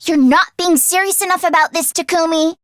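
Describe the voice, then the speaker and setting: High-pitched, emotive teenage girl game heroine. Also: brisk, dry recording, studio quality. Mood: eager. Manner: expressive and emotive, with sighs and gasps. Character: earnest, excitable teenage girl fighter, caring and anxious. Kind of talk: English video game voice acting.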